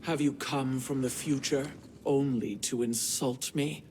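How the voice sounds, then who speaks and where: A haughty warrior of royal rank from a video game, voiced by a man. The speech is terse, formal and very slow, proud and guarded, at a middling pitch. Music is clearly heard behind. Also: fairly even intonation, a little mellow, natural voice. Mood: haughty, offended. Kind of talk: video game cutscene acting.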